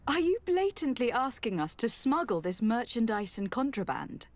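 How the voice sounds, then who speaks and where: A woman's voice: on the high side, sing-song, normal speed. Composed, assertive noblewoman. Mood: pointed. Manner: polite, formal, pointed. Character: composed, diplomatic young noblewoman envoy, quietly assertive. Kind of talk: video game cutscene acting.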